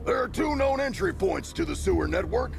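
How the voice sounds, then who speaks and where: An actor playing a dramatic comic-book law officer with a gravelly, theatrical delivery in a game cutscene. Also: male voice, high-pitched, sing-song, a little fast, music in the background, heavily acted. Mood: dutiful, dramatic. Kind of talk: video game cutscene acting.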